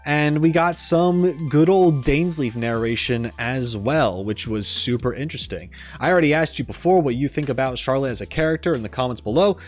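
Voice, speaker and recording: A man hosting a gaming reaction video, giving casual, informal and expressive commentary. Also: mid-range voice, normal speed, few pauses. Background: music under the voice.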